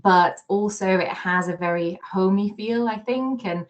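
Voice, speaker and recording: A woman's voice: medium pitch, measured pace, dull audio. A woman hosting an informal online session, speaking in a hesitant, loosely phrased way. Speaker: university host moderating a session.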